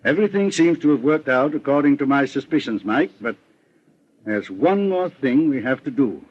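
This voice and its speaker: Shrewd middle-aged detective boss, American, husky and measured, vintage radio drama with muffled sound. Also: male voice, medium pitch, lively intonation. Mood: calm, commanding. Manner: measured, deliberate, composed. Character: shrewd, calm, authoritative male private detective, the boss. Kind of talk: old-time radio detective drama acting.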